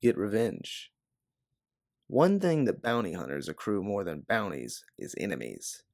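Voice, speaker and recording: Man reading as a guarded, low-voiced female bounty hunter. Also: wildly swooping pitch, a little slow, gravelly, a little mellow, dry recording, clean studio sound, exaggerated voice. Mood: bitter, matter-of-fact. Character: guarded, hard-bitten young female bounty hunter, vengeful. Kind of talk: audiobook character dialogue.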